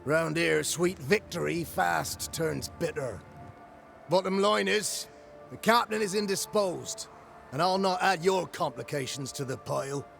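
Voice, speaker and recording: An actor playing a wry, dutiful fort guard in a video game, blunt and folksy with a gravelly voice. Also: male voice, a little high, a little slow, a bit bright, faint music behind, full character voice. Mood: grave, bargaining. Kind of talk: video game cutscene acting.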